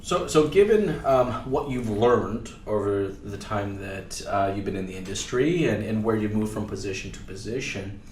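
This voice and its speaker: A man hosting a podcast, asking relaxed open questions and agreeing with his guest. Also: fairly low pitch, normal speed, hardly any pauses, recorded from a distance. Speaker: podcast host. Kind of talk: casual interview hosting. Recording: echoey room, off-mic, faint noise.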